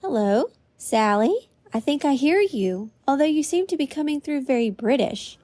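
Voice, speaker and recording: A woman voices a courteous AI voice assistant with a slightly high, even tone. She speaks in tidy, informative sentences like a cheerful field reporter. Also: moderate pace. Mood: polite. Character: polite female AI voice assistant, reporting. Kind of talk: comedy podcast sketch.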